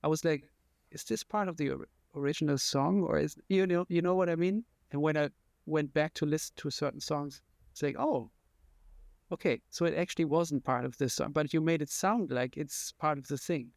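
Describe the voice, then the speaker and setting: A man's voice: fairly high pitch, moderate pace, vocal fry, dry recording. A man hosting an interview, talking in an enthusiastic, admiring way as he thinks aloud. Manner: enthusiastic, admiring, talks through his thoughts. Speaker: interview host. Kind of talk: casual conversation.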